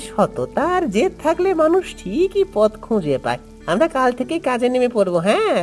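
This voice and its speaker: A woman voicing a worried, weary village father in an animated cartoon story, with an expressive, fretful delivery. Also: high-pitched, normal speed, faint music behind. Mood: resolved.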